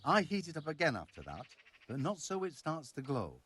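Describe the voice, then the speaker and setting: A man's voice: fairly high pitch, huge pitch swings, moderate pace, a bit bright, breathy edge, full character voice. A man acting a proud, earthy village blacksmith in a medieval video game, blunt and folksy in his speech. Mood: frank, reflective. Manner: blunt, sweary, folksy turns of phrase.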